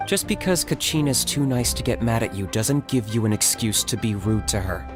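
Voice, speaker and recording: A man's voice: mid-range voice, animated, normal speed, close to an everyday voice. A man voicing a serious, blunt young warrior in a game, firm and matter-of-fact. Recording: game audio under music.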